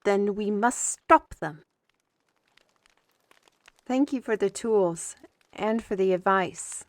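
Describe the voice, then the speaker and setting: A woman playing a spirited, good-humored artist and adventurer in a fantasy audio drama, with a playful, expressive delivery. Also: medium pitch, slow pace, dry recording, lightly acted. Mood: serious, resolute. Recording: music under the voice.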